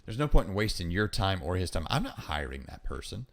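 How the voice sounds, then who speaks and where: Man, deep voice, podcast host, casual instructional monologue, emphatic, repeats key points. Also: big pitch swings, a little fast, creaky, a bit bright. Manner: emphatic, repeats key points, acts out example phone calls. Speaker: podcast host giving hiring advice.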